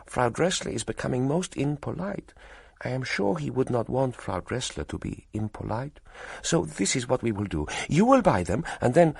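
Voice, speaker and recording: A man's voice: medium pitch, gravelly, darkish tone, breathy edge, no room sound, voice pushed up, heavily acted. A man voicing a middle-aged foreign wife who coaxes a buyer in stiff, formal phrasing. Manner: coaxing, formal stilted phrasing.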